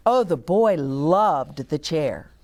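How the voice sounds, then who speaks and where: A woman's voice: low voice, lively intonation, slow, a little mellow. A woman reading a children's storybook aloud as a story time host, with short explanatory asides to young listeners.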